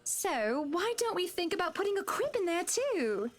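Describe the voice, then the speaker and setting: A woman's voice: very high-pitched, big pitch swings, moderate pace. An actress playing a gracious, teasing wealthy lady of the manor in a visual novel game, with a gushing, hostess-like manner. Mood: gushing, hospitable.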